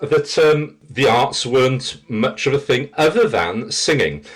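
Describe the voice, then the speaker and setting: A man's voice: mid-range voice, expressive, unhurried. A middle-aged man with a rich voice, reminiscing as a guest in an interview. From Wales. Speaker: interview guest, theatre practitioner and teacher.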